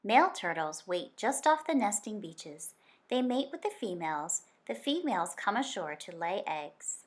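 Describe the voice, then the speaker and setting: A woman's voice: medium pitch, big pitch swings, normal speed. Woman reading a picture book aloud expressively. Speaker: teacher reading aloud to children.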